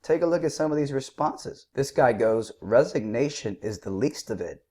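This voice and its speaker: A man with a sore throat giving combative, scornful political commentary, clearing his throat now and then. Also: mid-range voice, expressive, moderate pace, dark tone. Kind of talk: opinionated commentary.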